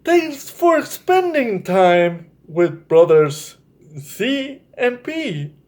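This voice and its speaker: A man's voice: sits high, sing-song, extremely slow, a little mellow. A man hosting a children's story time, reading aloud and explaining things to young listeners in an encouraging, direct way. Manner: encouraging, addresses listeners directly with check-in questions.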